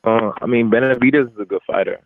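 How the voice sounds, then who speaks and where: A young man, a boxer, giving casual, halting interview answers with repeated phrase starts over a telephone. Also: mid-range voice, vocal fry, dry recording, soft background music. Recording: narrow, tinny phone line.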